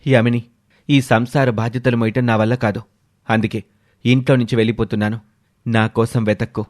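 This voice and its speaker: A man's voice: medium pitch, flat delivery, brisk, dry recording, clean studio sound, natural voice. A man voicing a selfish young husband in a story, exasperated and self-pitying. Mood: cold, resigned. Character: selfish young husband shirking his responsibilities.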